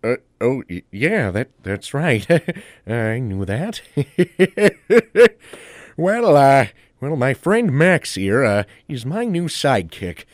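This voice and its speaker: A man's voice: mid-range voice, very animated, slow pace, no room sound, clean studio sound. A man playing a good-natured, bumbling, self-important superhero in a comic audio drama, mock-heroic with a tendency to stammer. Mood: sheepish, bluffing.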